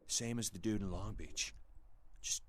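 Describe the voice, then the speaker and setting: Man as a low-voiced uneasy night shopkeeper. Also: a little slow, a little hiss or hum, close to an everyday voice. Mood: spooked. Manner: slangy, uneasy, conversational. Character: jittery, chatty male night-shift shopkeeper. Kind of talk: video game voice acting.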